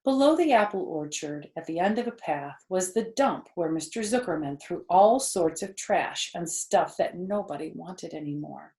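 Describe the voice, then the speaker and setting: An older woman reading a children's storybook aloud, with expressive, deliberate phrasing. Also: fairly low pitch. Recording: echoey room.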